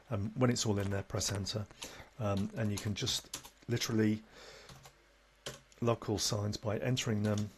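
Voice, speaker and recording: A man presenting an informal step-by-step software tutorial, matter-of-fact and a little halting as he demonstrates. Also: lowish voice, slight background noise.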